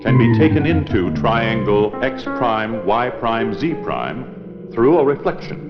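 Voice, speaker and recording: A man narrating an educational film from a script in a measured, deliberate, precise manner. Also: medium pitch, sing-song. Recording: dull old 16mm film soundtrack, long reverb, loud music.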